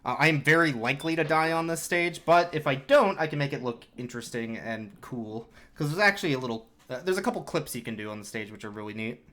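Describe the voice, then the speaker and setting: A man's voice: mid-range voice, expressive, fast, hardly any pauses, a bit bright. A young man casually commentating his speedrun of a game, explaining tricks with wry, self-mocking asides. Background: game music.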